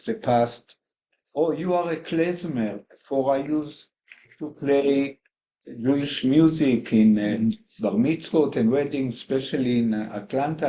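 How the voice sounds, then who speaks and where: Slow, mellow man, Hebrew-native speaker. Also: medium pitch, poor audio. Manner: hesitant, many pauses and fillers, non-native phrasing. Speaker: class participant. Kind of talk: slow personal reflection in class discussion.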